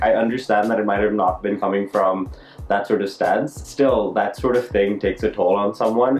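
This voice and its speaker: A man's voice: lowish voice, darkish tone. A young man from Dubai telling his personal story as an art vlogger, casual and informal, often correcting himself mid-sentence. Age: young adult. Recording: roomy echo, music behind.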